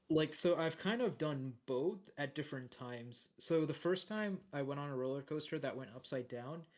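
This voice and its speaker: A man with a deep voice co-hosting a podcast, rambling in casual chat with lots of fillers and restarts. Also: darkish tone. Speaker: podcast co-host.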